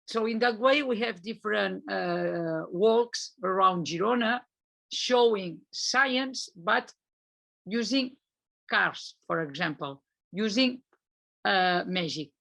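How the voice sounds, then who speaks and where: A woman presents at a conference over a video call, speaking with a gravelly edge in her voice. She talks very slowly and hesitantly, pausing and restarting as she searches for her English words. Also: mid-range voice, lively intonation, fairly bright tone. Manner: hesitant, many fillers and restarts. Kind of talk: unscripted presentation.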